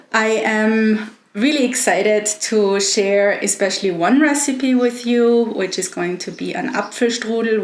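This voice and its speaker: A middle-aged woman from Austria with an Austrian accent, a native German speaker, explaining things articulately in an informal recorded talk. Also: medium pitch. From Innsbruck, Austria. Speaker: interviewee. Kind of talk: informal recorded talk about personal food history. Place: room at home. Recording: echoey room with faint background noise.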